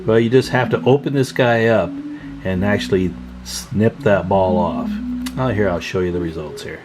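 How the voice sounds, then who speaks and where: A man's voice: lowish voice, moderate pace, over music. A man giving a casual hands-on radio repair tutorial, thinking aloud in a folksy, informal way. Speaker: radio repair hobbyist hosting a tutorial video.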